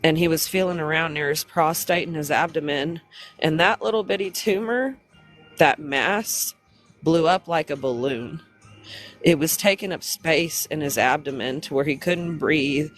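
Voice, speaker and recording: A man on a phone live stream, crying and stuffy from congestion, speaking haltingly through grief. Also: fairly high pitch, a bit bright, soft background music, low-quality recording. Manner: grieving, halting, tearful. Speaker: livestream host. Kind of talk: emotional live stream talk.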